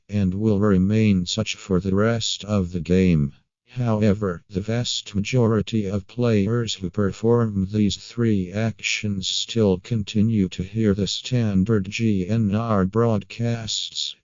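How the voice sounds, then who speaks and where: Slow, low-voiced man narrating, slightly dull audio. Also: a little mellow, no echo. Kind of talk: scripted creepypasta narration.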